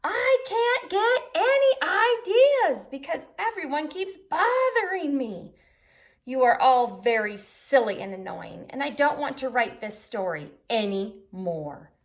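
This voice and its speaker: A woman's voice: sits high, very animated, slow, pitched above the performer's own voice, heavily acted. A woman voicing a grumpy male unicorn from a children's storybook, dramatic and easily exasperated. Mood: exasperated, howling. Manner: howling, exasperated outbursts.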